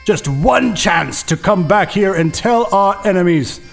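A heroic warrior leader, acted by a man as a sock puppet delivers a grand battle speech in a mock-epic style. He declaims slowly with drawn-out, emphatic phrases over background music. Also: high voice, lively intonation, vocal fry, voice pushed up, exaggerated voice. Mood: rousing, solemn. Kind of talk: dramatic battle speech parody.

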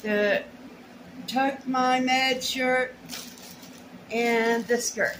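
A woman's voice: sits high, fairly even intonation, extremely slow. A middle-aged woman chatting casually and colloquially in a folksy way while unboxing purchases in a room at home. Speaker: haul vlogger. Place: small room at home, indoors. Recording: noisy home camera recording.

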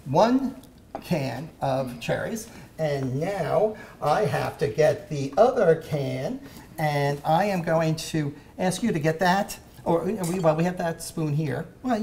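A man hosting a kids' cooking show in a home kitchen, talking in a halting way with many "uh" fillers. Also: a little high, expressive, creaky, a little mellow, slight background noise. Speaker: host.

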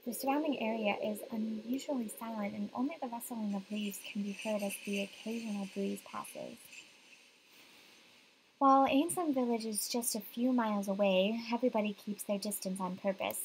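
A woman's voice: medium pitch, moderate pace, slight background noise, rough recording. A young woman reading visual novel narration aloud. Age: young adult. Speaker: game streamer reading aloud.

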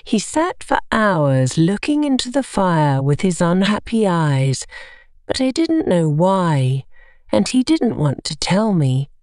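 A woman reading a scripted story for English learners, carefully and with clear enunciation. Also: fairly low pitch, big pitch swings, slow, darkish tone, no room sound, studio quality. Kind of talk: scripted audiobook narration.

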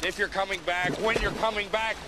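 An actor playing a gruff, middle-aged knight who talks in an exasperated, forceful, scolding way. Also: male voice, sits high, fairly even intonation, fairly quick, background noise, natural voice. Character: gruff weary male knight, middle-aged, hot-tempered.

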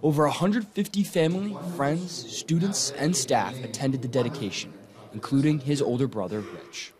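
A man's voice: mid-range voice, normal speed, music in the background. A young adult man with an American accent reading scripted narration as a student TV news reporter.

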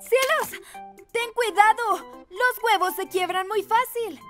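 A woman voicing a small, helpful, perky magical owl with a cute squeaky voice in a dubbed Spanish children's cartoon. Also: slow pace, music in the background. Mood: fussy warning. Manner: perky, exclamatory.

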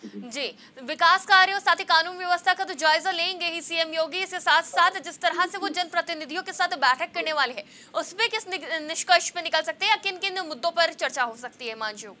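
Very high-pitched young female news anchor. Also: fairly quick, few pauses, pushed and bright. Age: young adult. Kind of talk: live news interviewing. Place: indoor TV studio.

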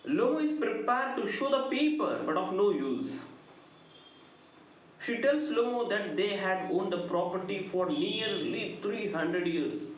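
A middle-aged man with an Indian accent giving a literature lecture, deliberate and emphatic, phrase by phrase. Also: sits high, lively intonation. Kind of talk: lecture summarizing a play. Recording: very echoey room, faint background noise.

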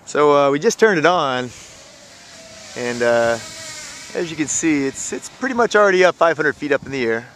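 A man's voice: a little high, animated, normal speed, a bit bright. A man giving casual, off-the-cuff commentary while filming outdoors in an open field. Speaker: camera operator narrating a drone test flight. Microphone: handheld camera mic. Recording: noisy outdoor camera audio.

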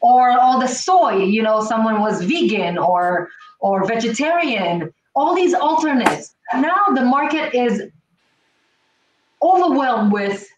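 A middle-aged woman talks emphatically and repeats her key points. Her voice sits a little high and comes from a home kitchen. She stands back from a laptop mic, so the sound is distant and echoey, with faint hiss. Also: lively intonation, slow. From Israel. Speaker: cooking show host. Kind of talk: casual chat on a video call.

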